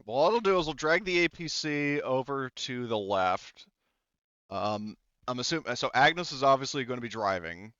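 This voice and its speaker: A man running a tabletop game as game master, explaining rules in a halting way with many fillers, on a slightly dull lo-fi mic. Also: medium pitch, expressive, fairly bright tone, clean tone, no room sound.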